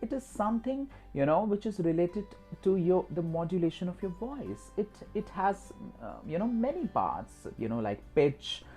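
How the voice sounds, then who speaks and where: Indian man teaching, lively swooping pitch. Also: moderate pace, few pauses, soft background music. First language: Hindi. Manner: repetitive, emphatic, with frequent "you know". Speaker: host of a skills channel. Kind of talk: instructional talk to camera.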